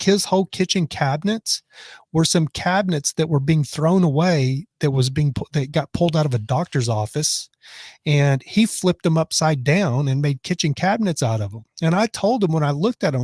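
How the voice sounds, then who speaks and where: Chatty raspy man streaming live. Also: fairly high pitch, lively intonation, normal speed, darkish tone, dry recording, clean studio sound. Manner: rambling and chatty, many restarts. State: raspy from choking on food. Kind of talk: casual live stream chat reading comments. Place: his office.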